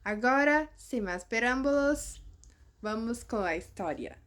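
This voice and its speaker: A woman with a Brazilian Portuguese accent introduces her channel in halting, non-native speech. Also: fairly high pitch, expressive, fairly bright tone. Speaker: channel host.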